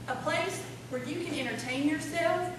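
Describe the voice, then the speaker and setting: Sassy, folksy townswoman, played by an actress, high voice, teasing comic delivery, distant echoey noisy hall. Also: moderate pace. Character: sassy small-town woman swapping jokes about her town. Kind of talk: live comic radio-play acting.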